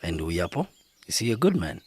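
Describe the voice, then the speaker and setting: A man's voice: sits low, very animated, moderate pace, darkish tone, husky, full character voice. A man with a southern African accent playing a grave, remorseful widowed father in middle age, in a radio drama. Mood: conciliatory.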